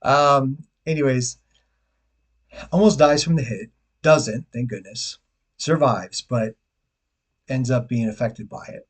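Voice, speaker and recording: A man's voice: medium pitch, takes it slowly, long pauses, husky. A man in his forties from Detroit, chatting casually to camera as a travel vlogger, rambling with restarts and the odd chuckle. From Detroit, USA. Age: 40s.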